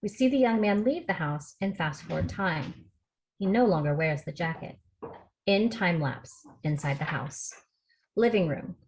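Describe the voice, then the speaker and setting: A woman's voice: lowish voice, animated, measured pace, a little mellow, a little hiss or hum, low-quality recording. A woman reading out stage directions as scripted narration at a table read over a video call.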